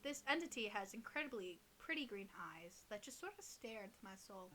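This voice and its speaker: A young woman with a fairly high, bright voice that moves around a lot. She reads creepy stories aloud in a casual, giggly, teasing style, as if chatting with a friend. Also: lively intonation, fairly quick, faint music behind. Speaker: co-host reading listener stories.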